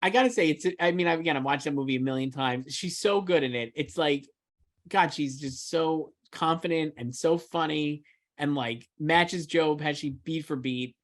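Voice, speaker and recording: A man's voice: fairly high pitch, normal speed. A man co-hosting a casual chat about film awards, speaking emphatically with some mild swearing. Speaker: awards podcast co-host and pundit.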